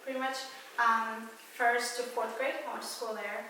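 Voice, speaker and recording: Young woman from ethiopia chatting easy, kinda high voice, lots of ums, sounds far off in a really echoey room. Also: normal speed, background noise. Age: young adult. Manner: relaxed, lots of fillers like "um" and "like". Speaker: interviewee. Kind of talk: casual personal story. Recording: echoey room, mic at a distance.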